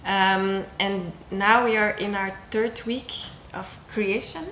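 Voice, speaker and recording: A woman's voice: medium pitch, fairly even intonation, takes it slowly, a bit bright, roomy sound, slight background noise, poor audio. A young woman from Belgium, a circus artist, talking casually about her work, hesitant with many ums and restarts. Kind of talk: casual interview talk.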